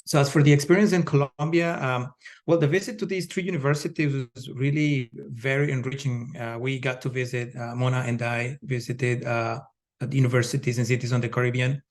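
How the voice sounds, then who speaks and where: A man's voice: medium pitch, steady tone, a little fast. Man from ecuador, polite panel talk, lots of uhs. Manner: polite, frequent "uh" fillers. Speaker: panelist, education professor. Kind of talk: panel discussion remarks.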